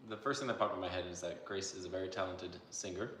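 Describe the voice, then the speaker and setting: A man's voice: sits low, fast talker, fairly bright tone, far from the mic, slight background noise. A man co-hosting a casual livestream with his wife, easygoing and mostly giving short replies. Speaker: co-host, husband. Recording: echoey room, away from the mic.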